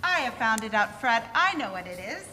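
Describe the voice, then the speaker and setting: A woman's voice: on the high side, sing-song, moderate pace, pushed and bright. A woman playing a spirited, teasing young wife in a 1940s-style radio play, by turns disapproving and playful. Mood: playful guessing. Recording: reverberant hall, faint noise.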